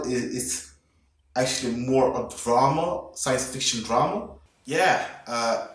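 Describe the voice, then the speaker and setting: A man's voice: medium pitch, recorded from a distance. A man giving a casual, enthusiastic movie review vlog, rambling with fillers and restarts. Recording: very echoey room, away from the mic.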